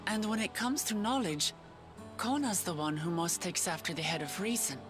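A woman's voice: fairly low pitch, normal speed, music in the background, lightly acted. A woman voicing an earnest young lion-woman warrior princess in a video game cutscene. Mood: reflective.

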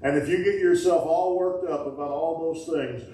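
Folksy pastor preaching in an echoey church. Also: male voice, on the high side, moderate pace, far from the mic, slight background noise. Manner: folksy, call-and-response preaching with sudden loud bursts. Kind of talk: sermon. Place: small wooden-walled church sanctuary.

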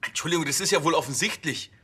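An actor playing a hapless, earnest junior policeman with a husky voice, flustered, in a TV crime comedy. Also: on the high side, quick pace, pushed and bright, full character voice. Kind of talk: naturalistic TV crime comedy acting.

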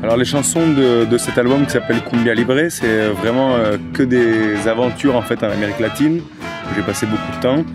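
Relaxed Toulouse musician, halting speech. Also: male voice, medium pitch, over loud music. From Toulouse, France. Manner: relaxed, many hesitations. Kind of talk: casual interview. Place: outdoors against an old wall. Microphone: handheld mic held by interviewer.

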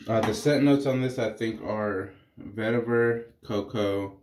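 A man's voice: on the low side, unhurried, slight background noise. A man in his thirties, congested from a cold, casually explaining his shave to the camera in a small bathroom. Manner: relaxed, rambling, explains while shaving. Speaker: wet-shaving reviewer. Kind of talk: casual vlog commentary. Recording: echoey bathroom.

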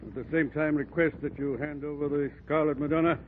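A man's voice: a little high, steady tone, brisk, close to an everyday voice. A man playing a sly, courteous villain with formal, oily politeness in a 1940s radio drama. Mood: smoothly menacing. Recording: tinny, faintly noisy old radio recording.

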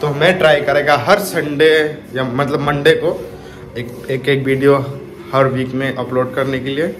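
A man's voice: mid-range voice, level tone, moderate pace, a bit bright. A young man chatting casually into a camera he holds at arm's length, making a vlog. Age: young adult. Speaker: vlogger. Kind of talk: casual motovlog chat. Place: small indoor room, then outdoors at a crowded festival ground. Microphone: phone or action camera held at arm's length. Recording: music and crowd noise behind.

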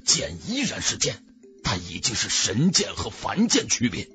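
A man's voice: mid-range voice, huge pitch swings, moderate pace, pushed and bright, faint music behind, pitched below the performer's own voice. A man voicing a proud, earnest swordsman rival with solemn, formal martial-hero speech in an audiobook. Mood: admiring.

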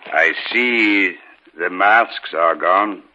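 An actor playing a courteous, menacing villain in a 1950s radio horror drama, speaking with deliberate politeness. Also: male voice, low voice, sharp tone, full character voice. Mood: falsely polite. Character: sinister, courteous, menacing male villain with a dog. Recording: noisy, muffled 1950s radio broadcast.

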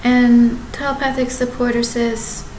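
A woman in her thirties talking to a webcam in her apartment, rambling and halting, often restarting and correcting herself. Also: a little high, level tone, a little mellow. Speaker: vlogger. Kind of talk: rambling direct-to-camera monologue. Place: apartment living room with kitchen behind. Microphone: webcam at desk distance. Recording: echoey room with background noise.

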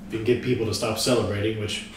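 A man's voice: on the low side, level tone, racing. A man talking casually as a player in a tabletop role-playing game discussion. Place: living room group session. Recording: very echoey room, distant mic, faint noise.

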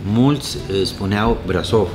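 A low-voiced man in his sixties with a Costa Rican Spanish accent tells stories about his years abroad in a relaxed, warm way, chuckling often. He sits in a restaurant bar and talks into a small lapel mic. Music plays softly behind him. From Costa Rica. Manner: warm storyteller, chuckles often, gestures with hands. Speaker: restaurant owner. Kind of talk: casual interview chat.

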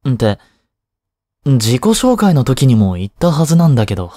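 A man plays a young male tutor in an intimate audio drama. His voice is mid-pitched, mellow and soft, recorded dry. He takes his time, soothing and gently scolding with a teasing, caring manner. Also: sing-song, normal speed, no echo, close to an everyday voice. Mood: relaxed, teasing. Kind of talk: intimate one-sided voice drama aimed at women.

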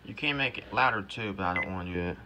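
Low-voiced man in a noisy home video. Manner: halting, thinking aloud. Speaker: vlogger showing a gadget. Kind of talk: casual hands-on demonstration, muttering to self. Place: indoors in a cluttered home room. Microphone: handheld phone or camera held close to device. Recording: noisy handheld home recording with clicks and beeps. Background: loud noise, button clicks and device beeps.

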